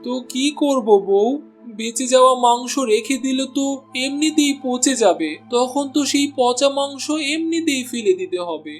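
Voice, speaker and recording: A man's voice: very high voice, animated, normal speed, soft background music. A man voicing an honest, hard-pressed village butcher and meek husband in a cartoon, earnest and pleading. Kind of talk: Bengali cartoon voice acting.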